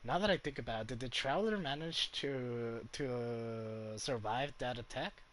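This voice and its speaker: A young man chatting casually on a game livestream in a halting, hesitant way. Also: mid-range voice, fairly bright tone. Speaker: game streamer. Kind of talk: casual livestream chat and commentary. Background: game music.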